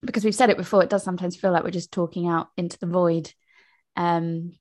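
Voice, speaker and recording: A woman's voice: lowish voice, fairly even intonation, quick pace, a little mellow. A woman, co-hosting a podcast in upbeat, agreeable casual chat. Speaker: podcast co-host.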